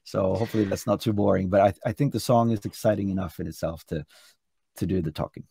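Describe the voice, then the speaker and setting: Norwegian guy, kinda hoarse, low gravelly voice, chatty and keen on a video call. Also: steady tone, fast talker, warm tone, dry recording, studio quality. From Norway. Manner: chatty, enthusiastic, frequent restarts. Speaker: singer and contest entrant. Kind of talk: casual interview over video call. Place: hotel room indoors.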